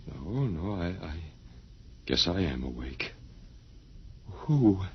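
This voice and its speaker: A man's voice: low-pitched, big pitch swings, slow pace, a little hiss or hum, exaggerated voice. An actor, a man, playing a bewildered, mild-mannered professor in an old-time radio drama, hesitant and stammering. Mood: bewildered, alarmed. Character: bewildered, mild male anthropology professor, last survivor. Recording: dull, muffled old radio recording.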